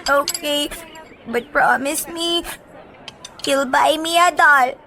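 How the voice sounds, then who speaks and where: A radio drama little girl, very high and bright. She speaks very slowly in a childlike whine, pleading for her father. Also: a little hiss or hum, full character voice. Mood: sweet, brighter. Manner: whining, childlike.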